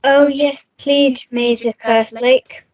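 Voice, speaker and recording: A prim young schoolfriend acted by a woman in a radio melodrama. She speaks slowly and evenly in a fairly high voice, earnest and measured. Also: fairly even intonation, darkish tone, lo-fi sound. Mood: earnest, impressed. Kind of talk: melodramatic radio play acting.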